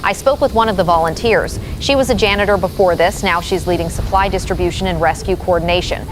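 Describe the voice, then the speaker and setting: A woman's voice: fairly low pitch, fast, lightly acted, rough recording. A woman playing an earnest, grave field reporter giving a scripted live disaster report in a radio drama. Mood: sombre, earnest. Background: music.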